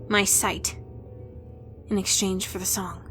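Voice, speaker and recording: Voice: mid-range voice, little pitch movement, slow pace, pushed and bright, soft background music, pitched above the performer's own voice. A narrator voicing a devout, earnest teenage village girl, solemn and sincere, in an audiobook. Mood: solemn, wistful. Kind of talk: audiobook character voice.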